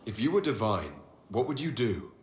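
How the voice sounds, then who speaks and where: An actor playing a calm, supportive heroic leader in a fantasy video game, reassuring and considered. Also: male voice, medium pitch, moderate pace, natural voice. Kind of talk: video game dialogue acting. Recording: echoey, with clear background noise.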